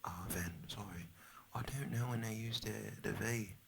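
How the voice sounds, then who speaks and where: An American man putting on a Cockney British accent for a rambling, soft-spoken, partly whispered ASMR chat that repeats and trails off. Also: fairly low pitch, moderate pace, gravelly, noisy background. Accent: put-on Cockney British. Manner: meandering, repetitive, often trailing off. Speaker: ASMR video creator.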